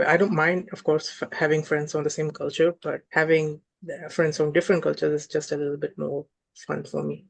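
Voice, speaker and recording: A man's voice: on the high side, little pitch movement, brisk, creaky, hardly any pauses. A young man from Kuwait, a student guest, answering hesitantly with many uhs and restarts. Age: young adult.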